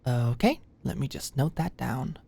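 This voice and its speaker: A woman's voice: very deep voice, animated, a little slow, creaky, dark tone, a little hiss or hum, heavily acted. A young woman playing an anxious, friendly medical student in an ASMR roleplay, chatty and hesitant, easily flustered. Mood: friendly, professional. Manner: hesitant, chatty, nervous, conversational. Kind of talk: ASMR roleplay, acted casual dialogue.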